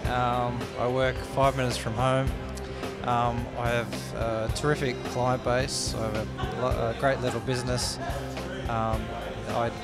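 A young man in his twenties, a small business owner, sounding enthusiastic and unhurried with lots of ums. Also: mid-range voice, level tone. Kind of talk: vox pop interview answer. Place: indoor function room. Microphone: handheld interviewer mic. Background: loud background music.

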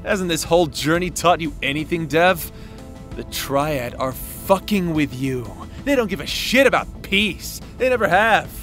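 A man's voice: a little high, animated, a little slow, a bit bright, faint music behind. A man playing a cocky demon schemer in an audio roleplay drama, casual and teasing with a swaggering manner. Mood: scornful, emphatic. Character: cocky, taunting male demon, amused schemer.

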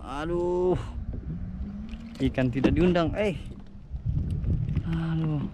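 A young man fishing from a kayak at sea, exclaiming and groaning excitedly as he talks to his action camera. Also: fairly high pitch, drawn-out words. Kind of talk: casual first-person fishing vlog. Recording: outdoor wind and water noise.

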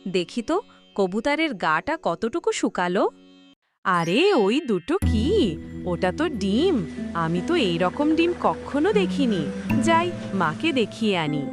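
A woman as an eager young village girl, animated. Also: medium pitch, unhurried, long pauses, over music. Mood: excited.

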